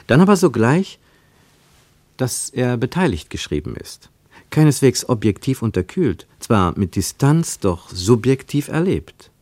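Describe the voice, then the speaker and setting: An actor as a reflective, analytical narrator in a radio play, commenting with deliberate, emphatic phrasing. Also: male voice, medium pitch, a little mellow, dry recording. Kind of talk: scripted literary narration and commentary.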